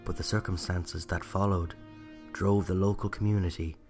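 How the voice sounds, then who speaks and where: A man with an Irish accent narrating a scripted true-crime story in a measured, reflective way. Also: sits low, steady tone, a little mellow, soft background music. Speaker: writer and narrator.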